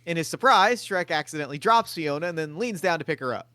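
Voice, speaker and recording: A man reading stage directions at a table read, plainly and matter-of-factly. His voice is bright and a bit high, and it sounds close and dry. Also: expressive, quick pace, dry recording.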